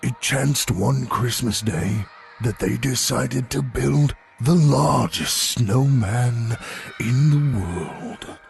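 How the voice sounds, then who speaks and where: A man voicing a gigantic, gentle abominable snowman in an audio storybook, solemn and gravelly, with a halting, drawn-out delivery. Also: medium pitch, soft background music. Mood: reminiscing. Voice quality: gravelly, creaky.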